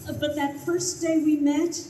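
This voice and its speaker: Woman playing a high-voiced, teasing middle-aged wife. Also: level tone, measured pace, dark tone. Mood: affectionate. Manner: dry, chiding, affectionate. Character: frank, teasing, loving middle-aged free-spirited wife. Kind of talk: live old-time radio play acting. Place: live stage at a convention. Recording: roomy, faintly noisy stage recording.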